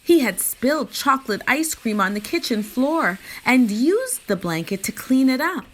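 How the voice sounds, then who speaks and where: A woman reading a picture book aloud as a children's story reader, with expressive, deliberate storytelling. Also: high-pitched.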